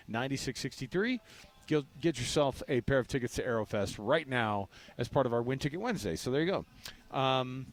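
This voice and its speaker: An American man hosting sports talk radio in an informal, conversational way. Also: mid-range voice. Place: outdoors at a ballpark.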